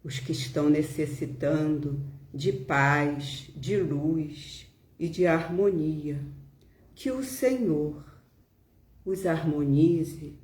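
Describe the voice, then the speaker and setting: Very slow-speaking Brazilian woman, solemn. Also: low voice, a little mellow. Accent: Brazilian Portuguese. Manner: solemn, deliberate, short pauses between phrases. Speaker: volunteer leader of a spiritist prayer live stream. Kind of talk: devotional reflection, prayer and reading aloud. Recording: roomy, echoing home live stream.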